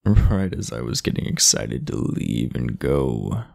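A man playing an aloof, irritable, sleepy teenage delinquent in an ASMR roleplay, blunt, sardonic and guarded, with a low, gravelly voice. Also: big pitch swings, unhurried, a little mellow, no echo, clean studio sound, full character voice. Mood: annoyed. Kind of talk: ASMR roleplay, speaking to the listener.

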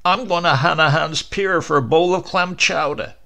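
A man performs an old storybook husband, a dreamer with an eager, jubilant streak. The voice is lifted and gravelly, calling out his plans with gentle excitement. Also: a little high, moderate pace, voice pushed up. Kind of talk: audiobook character voice.

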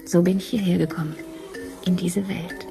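An actress playing a dreamy, devoted woman time traveller in an intimate radio drama, hushed and breathy. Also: fairly low pitch, steady tone, moderate pace, music in the background.

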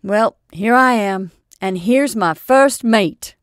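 A woman voicing an earnest old male sea captain who talks in loud, salty seafaring phrases. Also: medium pitch, slow pace, clear, resonant tone, no room sound, studio quality, natural voice. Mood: jubilant.

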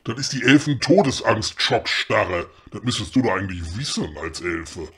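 A man playing a gruff, sarcastic, impatient fellow in a comedy audio drama, husky. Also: lowish voice, animated, normal speed, a bit bright, heavily acted. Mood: sly. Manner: colloquial, dry, sarcastic, blunt.

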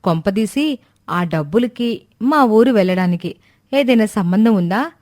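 A woman's voice: mid-range voice, animated, a little slow, darkish tone, lightly acted. Cartoon family tale: prying younger daughter-in-law played by a woman, suspicious, brooding, full of insinuating questions. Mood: suspicious musing. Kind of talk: animated moral-story voice acting.

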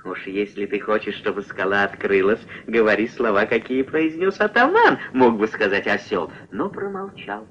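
A man narrating a puppet-film fairy tale as a wry, measured, expressive storyteller. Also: on the low side, slight background noise. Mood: wry, storytelling. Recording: dull old film soundtrack, music behind.

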